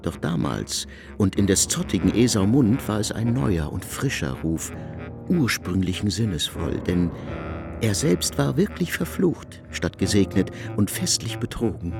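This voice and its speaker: A man's voice: fairly low pitch, big pitch swings, a little slow, gravelly, over music, exaggerated voice. A man reading scripted literary narration as the narrator of a radio drama.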